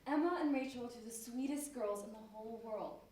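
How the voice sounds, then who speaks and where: A woman's voice: a little high, quick pace, warm tone, lightly acted. A female performer playing a caring, worried best friend, earnest and reassuring, in an amateur stage play. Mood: earnest, consoling. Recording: very echoey stage, distant mic, faint noise.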